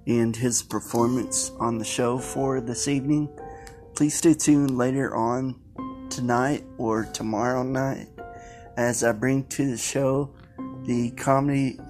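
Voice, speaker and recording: Slow-talking male show host. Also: mid-range voice, steady tone, a little mellow. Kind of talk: scripted show outro. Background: plucked guitar music.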